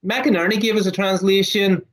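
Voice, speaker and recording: A man with a raspy, hoarse voice who is losing his voice. He speaks as a guest scholar, thoughtful, with many fillers and restarts. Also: high voice, steady tone, fairly quick. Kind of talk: casual interview talk.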